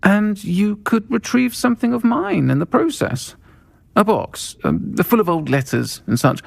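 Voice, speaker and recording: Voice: mid-range voice, very animated, a little slow, gravelly, heavily acted. A performer playing a kindly, caring witch who keeps a pub in a video game, speaking with a British accent in a familiar, considerate manner. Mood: caring, confiding.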